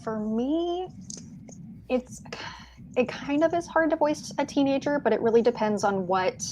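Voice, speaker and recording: A woman with a medium-low voice, answering thoughtfully on a casual video-call panel. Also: mellow, dull audio, a little hiss or hum. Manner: thoughtful, measured, light laughs. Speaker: voice actor on a panel.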